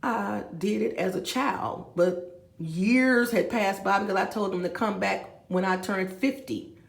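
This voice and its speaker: Woman in her 50s, vlogger with a gravelly voice, echoey room, rambling and chatty. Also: medium pitch, measured pace. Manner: rambling, talks straight to viewers with asides. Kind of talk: rambling confessional vlog. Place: room with a bed, indoors.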